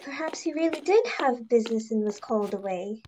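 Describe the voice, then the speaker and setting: A timid daughter of a grand household acted by a woman with a high, girlish pitch. She speaks meekly and obligingly, placating whoever she addresses, in an amateur dramatic reading. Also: animated, brisk, warm tone, slight background noise, natural voice. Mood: apologetic. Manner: meek, placating. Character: timid, obliging young daughter of a society hostess.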